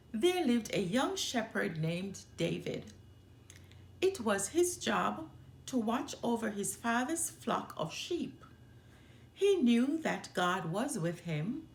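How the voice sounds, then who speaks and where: A woman reads a children's storybook aloud in a highly animated, expressive way. Her delivery is slow and deliberate, with some creak in the voice. The room adds a noticeable echo. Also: slight background noise. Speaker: storytime host reading aloud. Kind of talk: children's picture book read-aloud.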